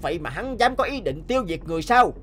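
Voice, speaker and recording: Man acting an indignant, high-pitched angel warrior. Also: very animated, fast talker, fairly bright tone, soft background music. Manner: earnest, emphatic, deferential. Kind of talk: cartoon voice acting.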